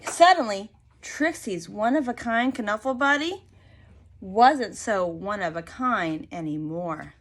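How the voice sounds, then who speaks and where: Slow, expressive woman reading to children. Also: medium pitch, a little hiss or hum. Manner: expressive, prompting listeners with questions. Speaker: storyteller reading a picture book to children. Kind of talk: read-aloud with questions to young listeners.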